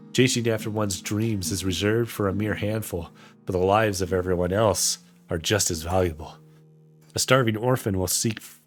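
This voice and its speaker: A resigned, philosophical mother in a fantasy visual novel, read by a man. The voice is low and measured, gentle and reflective, with soft guitar music behind it. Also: lightly acted.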